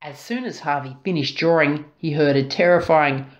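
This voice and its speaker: A woman narrating a children's story expressively, dramatic and deliberate, drawing out key words. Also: low-pitched, dull audio. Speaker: storybook reader.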